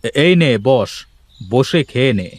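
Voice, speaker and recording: Cartoon village landowner, acted by a man: stingy, impatient, scolding, very animated pitch, unhurried pace. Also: dry recording. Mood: bossy. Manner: scolding, bossy. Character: stingy, impatient village landowner hurrying his hired hand. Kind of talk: Bengali cartoon folk-tale voice acting.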